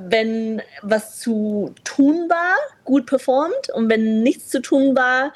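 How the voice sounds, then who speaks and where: A woman's voice: high voice, slow pace. A young woman born in China, speaking as a guest in a candid conversation, reflective and open, with frequent fillers and easy laughter.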